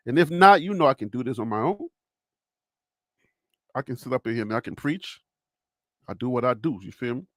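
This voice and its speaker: A man's voice: high-pitched, fairly bright tone, no room sound, clean studio sound. A man with a Liberian accent hosting a solo sports livestream, joking and repeating himself as he talks to his chat. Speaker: sports show host.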